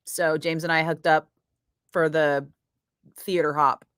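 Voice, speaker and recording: A woman's voice: low-pitched, flat delivery, measured pace, fairly bright tone, no room sound, studio quality. Chatty, cursing radio DJ woman. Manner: chatty, profane, laughs often. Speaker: radio DJ live-streaming between breaks. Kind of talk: casual chat with viewers. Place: radio studio.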